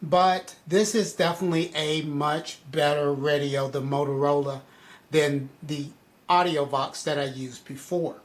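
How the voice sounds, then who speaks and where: Higher-pitched man giving a casual review. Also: steady tone, measured pace. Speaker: product reviewer.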